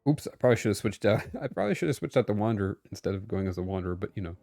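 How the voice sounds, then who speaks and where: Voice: sits low, lively intonation, racing, mellow. A game streamer giving casual live commentary, chatting and reacting aloud to the game.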